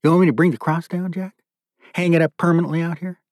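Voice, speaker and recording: A man's voice: a little high, sing-song, moderate pace, darkish tone, breathy edge, no echo, voice pushed up. A man performs a menacing male captor in a dramatic audiobook. He speaks in a raised, smug voice, giving orders with a sickly show of sympathy and possessiveness. The sound is close and dry. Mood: smug, threatening. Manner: commanding, mock-sympathetic. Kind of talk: audiobook character dialogue.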